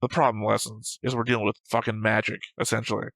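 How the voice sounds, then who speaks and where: A man's voice: on the low side, flat delivery, fast, creaky, fairly bright tone, dry recording, rough recording. A man co-hosting a reaction show, casually analysing and arguing his points in a matter-of-fact way. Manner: argumentative, matter-of-fact, picking apart points. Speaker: co-host of an anime reaction show. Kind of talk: casual analytical debate, discussion.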